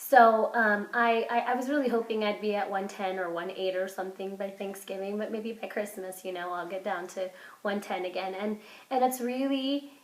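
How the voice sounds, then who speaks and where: A woman vlogging casually while sick with a cold, her voice hoarse and congested. She rambles with lots of fillers and restarts, keeping an upbeat, self-encouraging tone. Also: medium pitch, steady tone, moderate pace. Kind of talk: casual vlog chat. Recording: roomy echo.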